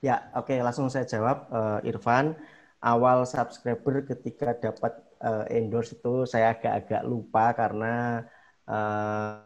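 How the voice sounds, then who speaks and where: A man with a Javanese accent talks casually to an online audience, answering questions as a guest speaker. He grows emphatic, his voice climbing when he stresses a point. His pitch sits in the middle range. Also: little pitch movement, measured pace, dull audio. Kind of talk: casual online seminar talk.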